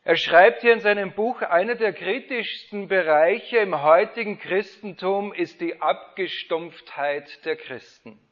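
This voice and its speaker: A man preaching, his voice pitched slightly high. He delivers an Easter sermon, reads scripture aloud and presses his points with fervent rhetorical questions. The recording sounds muffled and lo-fi. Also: measured pace, few pauses. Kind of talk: Easter sermon with Bible reading. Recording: dull lo-fi sound.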